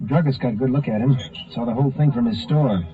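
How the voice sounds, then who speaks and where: A man's voice: medium pitch, fast talker, close to an everyday voice. An actor playing a deferential, businesslike local lawman in a 1950s radio drama. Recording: tinny old 1950s radio recording.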